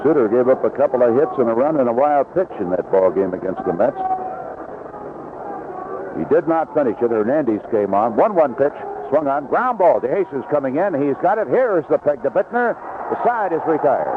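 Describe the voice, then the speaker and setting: A man's voice: a little high, animated, normal speed. A man calling live baseball play-by-play on the radio in clipped, descriptive phrases. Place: outdoor ballpark broadcast booth. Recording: noisy lo-fi 1970s AM radio broadcast.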